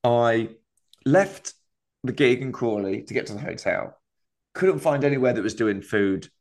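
A male comedian co-hosting a podcast, spinning a wandering anecdote in relaxed conversation. He laughs often as he goes and keeps circling back on his own story, in an everyday middle-pitched voice. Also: measured pace. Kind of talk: casual chat.

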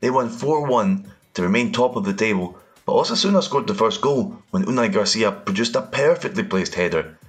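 A man with a Scottish accent hosting a football podcast, presenting in a measured, scripted way. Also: on the low side, lively intonation. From Scotland. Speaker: podcast host.

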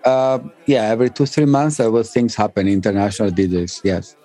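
A man, giving casual interview answers as a DJ in halting, English. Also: lowish voice, steady tone, mellow, some chatter behind. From Albania and northern Greece. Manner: halting non-native English phrasing. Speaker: interview guest, DJ and music producer.

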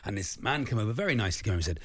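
Male radio co-host, loud voice, lively pitch, chatty and joking. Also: rapid-fire. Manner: chatty, joking, laughs often. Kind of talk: casual radio banter.

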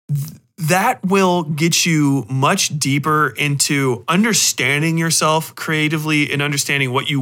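A man hosting a podcast in rambling, casual talk, animated and emphatic, swearing freely. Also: on the high side. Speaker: podcast host and fiction writer.

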